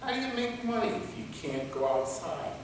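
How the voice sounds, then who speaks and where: Man playing halting, curious guard in echoey hall. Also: sits high, big pitch swings, gravelly, heavily acted. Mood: curious, stalling. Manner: halting, inquisitive, hesitant phrasing. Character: captured male guard stalling vampires with curious questions. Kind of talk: staged reading of a comic play. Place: large reverberant indoor performance space. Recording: echoey hall from far off, noisy.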